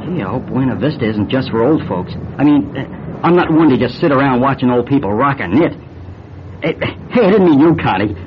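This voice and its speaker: A man's voice: animated, moderate pace, natural voice. A man playing a brash, friendly young race car driver with breezy, slangy talk in a classic radio drama. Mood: eager. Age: young adult. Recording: noisy muffled old radio recording. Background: bus engine rumble.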